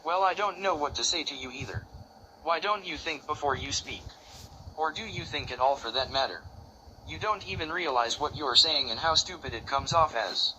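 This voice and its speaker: Guy doing snarky commentary, kinda high voice, mocking and scornful, faint hiss behind. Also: expressive, normal speed, bright, forceful tone, poor audio. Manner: taunting, insulting, scornful. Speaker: commentary channel host. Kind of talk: mocking commentary over clips.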